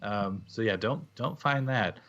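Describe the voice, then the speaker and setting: A man co-hosting a podcast, talking in a relaxed, hesitant way with plenty of ums. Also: lowish voice, a little slow, highs cut off. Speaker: podcast co-host. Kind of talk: casual podcast chat.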